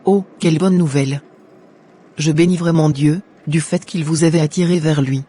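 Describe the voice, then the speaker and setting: Husky, pious mother, acted by a man. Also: fairly high pitch, steady tone, moderate pace, warm tone. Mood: joyful. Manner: pious, formal, declamatory.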